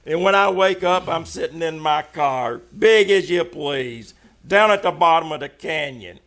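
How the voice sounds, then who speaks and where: High-pitched American small-time tough. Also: male voice, animated, a little slow, a bit bright. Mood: aggrieved, gossipy. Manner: slangy, blunt. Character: rough, slangy, none-too-bright male small-time tough. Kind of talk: 1940s radio crime drama acting.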